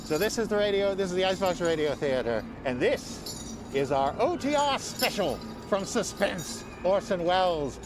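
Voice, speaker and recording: A man's voice: high-pitched, sing-song, moderate pace, over music. A man hosting a live show with a casual, joking, ad-libbed introduction. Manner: joking, offhand. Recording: noisy live show pickup with background ambience.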